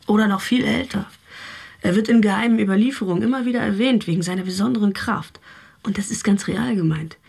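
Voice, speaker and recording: A woman's voice: medium pitch, fast, gravelly, a little mellow, natural voice. Actress as earnest modern witch, long reverb. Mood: earnest secretive. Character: esoteric pendulum-reading self-styled witch, calm and earnest. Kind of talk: radio drama acting. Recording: long cavernous reverb, music behind.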